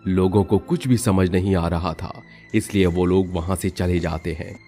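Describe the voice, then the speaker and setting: A man narrating a scripted horror story for a cartoon storybook channel, steady and dramatic. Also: low-pitched, moderate pace, soft background music.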